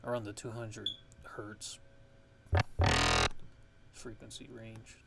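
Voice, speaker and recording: Guy with a lowish crackly fry voice rambling thru a music production how-to, hissy noisy audio. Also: flat delivery, slow pace. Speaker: music production tutorial host. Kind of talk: casual ASMR-style software tutorial.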